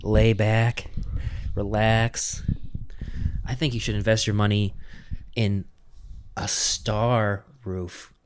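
A man's voice: on the low side, flat delivery, slow, pauses often, a little hiss or hum. A young man co-hosting a podcast in casual, profane, joking chat. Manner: loose, profane, laughing. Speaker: podcast co-host. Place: podcast room.

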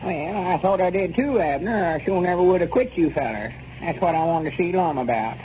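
Casting: male actor as worried rustic Southern old-timer, high voice, 1930s radio comedy, faint hiss. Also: fairly quick, a little mellow, natural voice. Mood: worried, plaintive. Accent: rural Southern US. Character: worried, folksy old country grandpappy. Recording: muffled, noisy 1930s radio recording.

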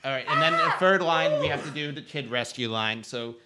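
A man's voice: fairly high pitch, brisk, sharp tone. A man playing a patient, matter-of-fact switchboard supervisor in an improvised comedy radio play. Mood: harried. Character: patient switchboard supervisor training a new operator.

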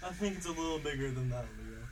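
A man voicing calm wise Veggie Bear. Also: a little high, recorded from a distance, a little hiss or hum, natural voice, rough recording. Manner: calm, measured, reassuring. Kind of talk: amateur radio drama acting.

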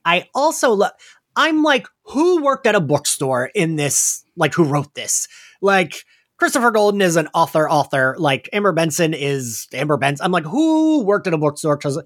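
A man's voice: fairly high pitch, big pitch swings, normal speed, a bit bright. A man co-hosting a podcast, chatting casually and animatedly as he recaps a story and acts out little quotes. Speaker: podcast co-host.

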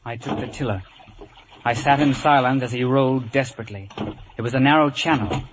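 An actor playing a man narrating his own boyhood sea adventure in measured, dramatic 1950s radio style. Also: medium pitch, background noise, natural voice. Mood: tense, recounting. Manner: measured storytelling. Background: orchestral music.

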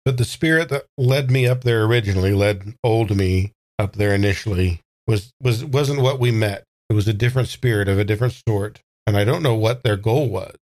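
A man playing an uncertain old man reborn in a young body, speaking haltingly with repeated words in an improvised audio drama. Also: fairly low pitch, darkish tone, lightly acted. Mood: hesitant, troubled.

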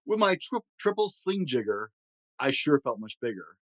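Animated man reading a children's storybook. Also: medium pitch, normal speed, no echo. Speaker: host reading a children's book aloud. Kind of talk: animated read-aloud storytelling.

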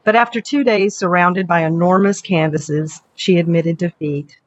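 A woman's voice: fairly low pitch, normal speed, no room sound. A woman writer reading her own short story as scripted literary narration. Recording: dull lo-fi audio, background noise.

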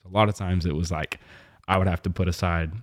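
A young man from Missouri with a very low voice, a guest on a faith podcast. He talks in a loose, rambling way, full of restarts and fillers. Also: fairly even intonation, fast talker. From southwest Missouri. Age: young adult. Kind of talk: casual faith podcast interview.

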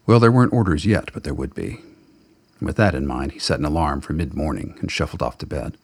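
Very deep gravelly male narrator. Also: expressive, fast, a little mellow, no echo, studio quality. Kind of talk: scripted dramatic narration of a radio play.

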